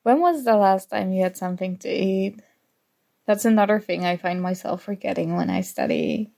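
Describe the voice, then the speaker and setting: A woman playing a flustered, teasing young student rival in an intimate ASMR roleplay, soft-spoken, mock-annoyed and hesitant. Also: medium pitch, big pitch swings, moderate pace, vocal fry. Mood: candid, concerned.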